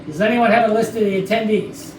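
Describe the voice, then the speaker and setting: A man playing a pedantic, deadpan police detective who badgers people with questions in a staged comedy reading. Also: high-pitched, fast talker, lightly acted. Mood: badgering. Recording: echoey, distant, noisy room recording.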